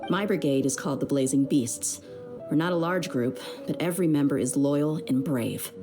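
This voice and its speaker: A low voiced young merc lady, chill n loyal, blunt slangy game dialogue, music under it. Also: normal speed. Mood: frank, serious. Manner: casual, frank, slangy. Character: easygoing, loyal young female desert mercenary leader. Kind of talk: video game voice acting.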